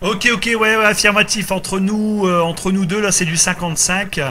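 Male radio ham, high forceful voice, casual, repetitive delivery, faint noise. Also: little pitch movement, moderate pace. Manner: repeats himself, tag words. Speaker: amateur radio operator running a group contact.

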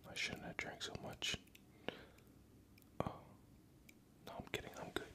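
A young adult whispering crude, rambling chat close to a studio microphone at home, a little tipsy. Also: a little slow. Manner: profane, rambling, joking. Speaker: ASMR creator. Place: home room. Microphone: studio USB microphone.

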